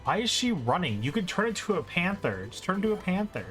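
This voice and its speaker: A man's voice: sits high, very animated, fast talker, fairly bright tone, faint music behind, low-quality recording. A man giving casual, exclamatory commentary while he plays a video game on a livestream. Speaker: speedrunner streamer playing and commenting.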